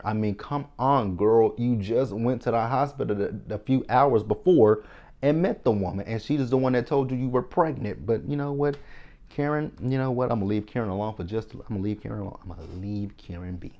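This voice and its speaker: A man's voice: medium pitch, a little fast, darkish tone, highs cut off. A man from Texas with a Texan accent, casually recapping a TV episode in a chatty, sassy, opinionated way, talking straight to his viewers. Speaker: TV recap host.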